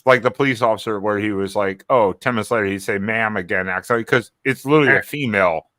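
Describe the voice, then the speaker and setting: A man's voice: on the low side, fast, a bit bright, dry recording, clean studio sound. A man co-hosting a casual evening livestream hangout, rambling and often restarting his sentences. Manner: rambling, repeats words and restarts. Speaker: co-host.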